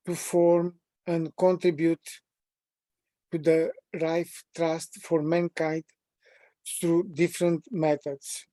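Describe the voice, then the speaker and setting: A man in his sixties from Romania, with a Romanian accent, presenting a training webinar at a measured, deliberate pace with frequent pauses. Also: on the high side, mellow, no room sound. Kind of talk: prepared self-introduction in a training webinar.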